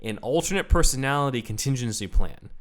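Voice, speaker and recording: A man co-hosting a casual podcast, explaining comic book history at length in an animated way. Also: mid-range voice, a little fast. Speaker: podcast co-host.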